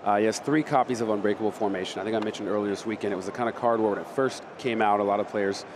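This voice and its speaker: A man breaks down card game deck lists at a quick clip. His voice is fairly low. Noticeable background noise sits under the commentary. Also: steady tone. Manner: quick and explanatory. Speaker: esports analyst. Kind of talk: analytic color commentary.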